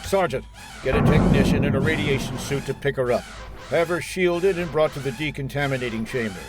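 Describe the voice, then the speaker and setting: An actor playing a brisk, authoritative military colonel in a radio drama. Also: male voice, mid-range voice, over loud music, lightly acted. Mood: stern, commanding. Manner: authoritative, commanding. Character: brisk male military colonel commanding an underground bunker.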